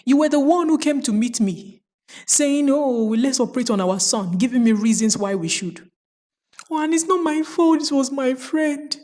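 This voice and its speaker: A distraught parent from a folktale, acted out by a woman storyteller, pitched high and loud. The words tumble out in a hurried, accusing wail, full of defensive excuses. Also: close to an everyday voice.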